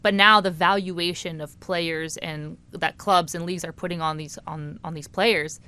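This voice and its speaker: Bright, upbeat woman co-hosting a chat. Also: lowish voice, fairly even intonation, normal speed, clear, resonant tone. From South Florida, USA. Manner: enthusiastic, conversational, frequent affirmations. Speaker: podcast co-host. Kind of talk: casual chat.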